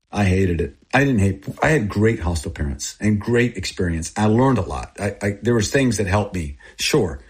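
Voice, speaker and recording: A man in his sixties from Texas and Thailand, a native Thai speaker, telling personal stories in an earnest, confessional way in short plain phrases, with a husky voice. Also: on the low side. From born in Texas, grew up in Thailand. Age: 60s. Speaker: interviewee, missionary aid group founder. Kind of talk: personal storytelling in an interview.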